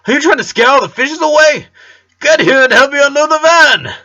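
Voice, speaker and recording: A man's voice: extremely high pitch, animated, a little fast, pushed and bright, highs cut off, voice pushed up, exaggerated voice. A man voicing an easygoing, chatty middle-aged father from a storybook, fond of teasing and explaining. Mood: hollering playfully.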